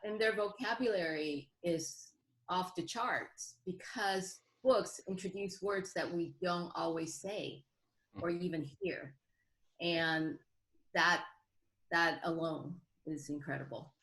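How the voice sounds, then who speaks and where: Middle-aged female guest, unhurried and very slow, slightly low voice, conversational. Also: steady tone, fairly bright tone, low-quality recording. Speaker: guest, homeschooling parent. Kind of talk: conversational interview.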